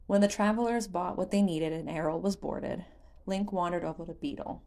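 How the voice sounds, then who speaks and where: Female audiobook narrator, slightly low register, for scripted fantasy storytelling. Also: moderate pace, vocal fry, mellow.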